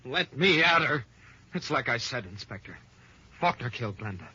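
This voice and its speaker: An actor playing a hot-headed, vengeful murderer in agitated, melodramatic 1950s radio drama style. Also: male voice, mid-range voice, expressive, moderate pace, bright, forceful tone, slightly rough, dry recording, heavily acted. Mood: vengeful rage. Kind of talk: 1950s American radio crime drama acting. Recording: muffled, lo-fi old radio recording.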